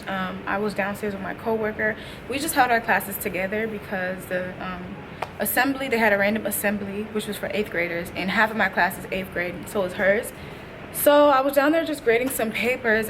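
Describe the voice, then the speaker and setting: A woman's voice: medium pitch, noisy background. A woman in her twenties, a teacher, chatting casually to a vlog audience in an informal, slangy way with wry asides. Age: 20s. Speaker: teacher and vlogger.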